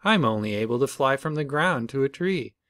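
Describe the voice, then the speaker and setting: A man's voice: medium pitch, expressive, a little fast, a bit bright, no room sound, clean studio sound, pitched above the performer's own voice, close to an everyday voice. A man voicing a downcast, plaintive female roadrunner bird from a storybook.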